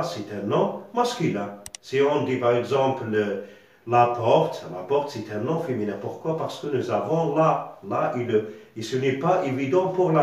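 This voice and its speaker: A man whose first language is Arabic, teaching a slow, deliberate grammar lesson, repeating words and checking that the class follows. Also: medium pitch, darkish tone, a little hiss or hum. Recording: echoey room, far from mic.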